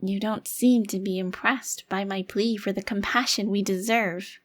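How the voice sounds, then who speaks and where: A woman's voice: medium pitch, steady tone, moderate pace, natural voice. A woman playing a haughty, centuries-old vampire crime lord trapped in a child's body, speaking formally in an ASMR roleplay. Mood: defiant, pleading.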